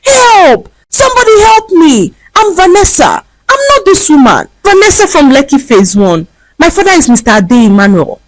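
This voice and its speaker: A woman voicing a spoiled, arrogant rich young woman who turns desperate and shrill. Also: wildly swooping pitch, moderate pace, no room sound, low-quality recording. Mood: pleading. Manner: shrill, pleading cries.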